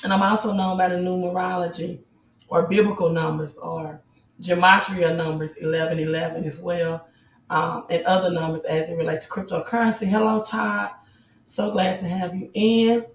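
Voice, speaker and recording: Hoarse raspy woman, casual livestream host, roomy distant mic with faint music under it. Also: on the low side, fairly even intonation, moderate pace, slight background noise. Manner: greets viewers, urges likes and subscribes.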